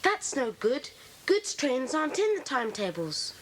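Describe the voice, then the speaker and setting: A woman's voice: high voice, big pitch swings, moderate pace, low-quality recording. A woman playing a keen, clever child detective, reasoning aloud eagerly in an audiobook dramatisation. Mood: eager, thoughtful. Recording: hissy old cassette dramatisation.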